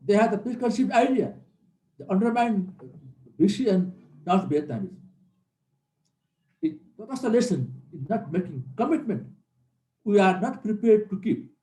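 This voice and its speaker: A man's voice: sits high, big pitch swings, normal speed, pauses often, warm tone. A middle-aged man, giving an earnest classroom lecture as a military instructor and repeating key points for emphasis. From Nepal. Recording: roomy echo.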